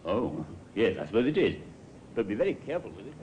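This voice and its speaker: An actor playing a brisk, obliging chemist shopkeeper in a 1950s radio drama. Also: male voice, fairly low pitch, big pitch swings, a little mellow. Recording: muffled, noisy old radio recording.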